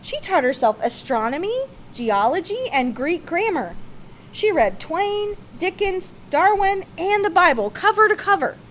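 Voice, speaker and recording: A woman reading a children's picture book aloud, with expressive, emphatic phrasing. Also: very high-pitched, a little slow, slight background noise. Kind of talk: read-aloud children's storybook narration.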